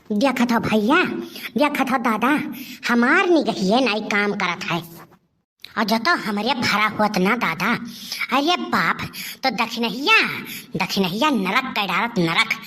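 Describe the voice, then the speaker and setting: A melodramatic, scheming older aunt from a village cartoon, acted by a woman, speaks in a piercing, rather high voice. Her Awadhi accent of eastern Uttar Pradesh carries a stream of wailing, repeated exclamations. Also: bright, forceful tone, heavily acted. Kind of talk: cartoon comedy monologue in rural dialect.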